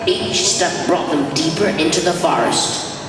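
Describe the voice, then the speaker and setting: A woman's voice: deep voice, measured pace. Female stage narrator, very echoey hall. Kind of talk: scripted storytelling narration. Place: large school gym. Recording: echoey large hall, distant, music, crowd.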